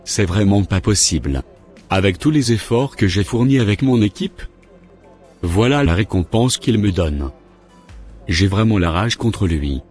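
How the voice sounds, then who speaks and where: A man's voice: lowish voice, lively intonation, a little slow, darkish tone, faint music behind. A man playing a resentful, grumbling father and engineer in a cartoon, with a stiff scripted delivery. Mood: bitter. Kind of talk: animated cartoon dialogue.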